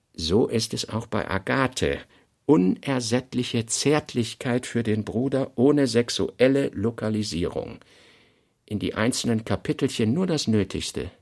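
A man reading scripted literary notes for a radio play, in measured, fragmentary phrases. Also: on the low side, gravelly, a little mellow. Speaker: reader of a writer's working notes.

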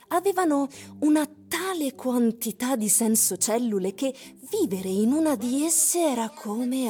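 A woman narrating a literary radio drama in measured, expository storytelling phrases. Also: fairly high pitch, a little mellow. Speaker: actress narrator.

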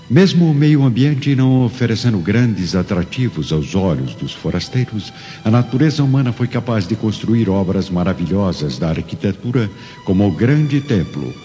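A man's voice: low voice, dark tone. A man delivering solemn, theatrical scripted narration for a radio drama. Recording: long reverb, dull audio, music behind.

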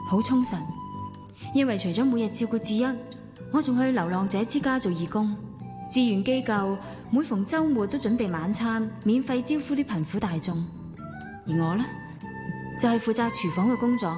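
An actress plays a well-off wife and mother in a Hong Kong Cantonese radio drama, expressive and earnest. Her voice sits somewhat high. It carries a long reverb, with music clearly playing behind. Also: close to an everyday voice. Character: troubled wealthy wife and devoted mother, starting afresh. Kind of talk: Hong Kong radio drama acting and first-person narration.

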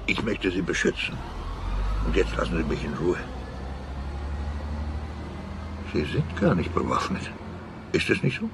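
Older returned emigrant for radio drama: low voice, big swooping intonation, jumpy bluster, bits of English. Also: male voice, big pitch swings, moderate pace, noisy background. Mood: indignant. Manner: indignant, peppered with English phrases. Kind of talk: radio play acting.

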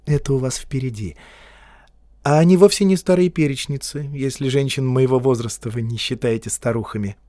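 Cool teasing lady near forty, man reading her, soft and quiet, dry close sound. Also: mid-range voice, fairly quick, no room sound, close to an everyday voice. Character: poised proud woman near forty, teasing.

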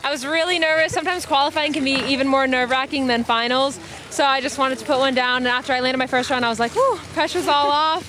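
A nineteen-year-old English woman speaks eagerly after competing, her voice high and light. Faint outdoor noise sits behind her. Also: steady tone, fast, fairly bright tone. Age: teens. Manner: relieved, eager. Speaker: athlete.

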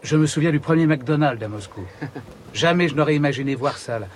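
A man's voice: fairly high pitch, fairly quick, slight background noise, close to an everyday voice. Earnest, nostalgic man of the polar wastes acted. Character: nostalgic, idealistic Siberian-born male polar researcher. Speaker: actor in radio drama. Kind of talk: naturalistic French radio drama acting.

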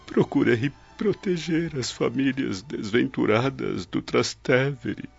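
An actor playing a dying, repentant older Roman nobleman in a radio drama, weak, breathy and faltering, with solemn, pleading delivery. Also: medium pitch, full character voice. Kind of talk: old radio drama acting. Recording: dull lo-fi radio drama with music.

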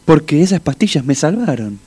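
A man's voice: fairly high pitch, sing-song, brisk, darkish tone, husky. An actor with an Argentine accent playing a dazed, simple-hearted male patient coming round in a comic radio play. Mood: earnest, naive. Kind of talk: comic radio theatre acting.

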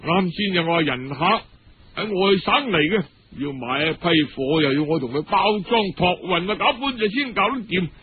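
A man's voice: sits high, expressive, normal speed, slight background noise. A man playing a penniless, lovesick young man with a Cantonese accent, plaintive and faltering, in a told story. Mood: flustered, breathless. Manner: plaintive, sighing, falters over words. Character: hard-up, lovesick young man, sighing and aggrieved. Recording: dull lo-fi radio-style recording.

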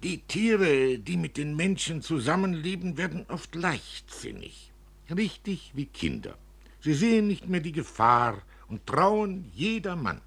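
Worried, bitter father, emphatic and animated, acted by a man. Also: on the high side, measured pace, gravelly, slightly rough, voice pushed up, heavily acted. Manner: emphatic, indignant, preaching. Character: worried, bitter father lecturing his young daughter. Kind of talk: dramatic literary storytelling.

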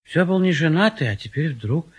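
An actor playing a hesitant, fussy bachelor civil servant in a classic Russian stage comedy, grumbling and dithering. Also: male voice, on the high side, animated, moderate pace, breathy edge. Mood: doubtful.

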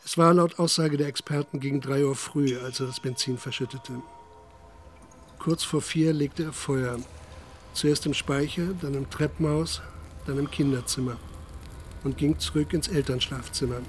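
A man's voice: a little high, steady tone, moderate pace, a little hiss or hum. Sober male radio drama narrator. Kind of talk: scripted literary narration. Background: music.

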